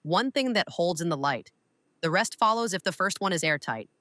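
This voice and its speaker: A woman playing a shrewd, composed older widow and mentor in an audio drama, speaking with deliberate authority. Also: fairly low pitch, lively intonation, fairly bright tone, no echo. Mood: probing, grave.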